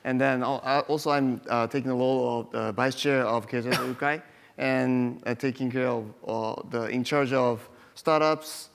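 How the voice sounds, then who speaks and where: A man from Japan introducing himself as a panelist, halting with frequent fillers and restarts. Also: medium pitch, steady tone, creaky, smooth. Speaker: panelist, company CEO. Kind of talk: forum self-introduction. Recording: long hall reverb.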